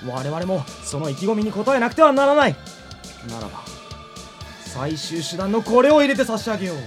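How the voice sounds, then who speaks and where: A woman's voice: medium pitch, sing-song, brisk, faint music behind, natural voice. A woman playing a gleeful schoolgirl announcer on an anime radio show, teasing, grandiose and mock-dramatic. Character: gleeful, theatrical schoolgirl broadcast club announcer. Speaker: contest announcer.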